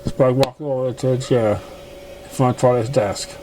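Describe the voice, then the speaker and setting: A man's voice: mid-range voice, steady tone, normal speed. A man narrating a story aloud, stumbling over and misreading words as he goes. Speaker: fan fiction reader.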